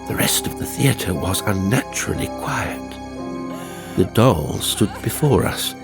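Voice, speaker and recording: A man reading a horror audiobook as narrator, with deliberate, suspenseful, dramatic storytelling. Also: sits low. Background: music and sound effects.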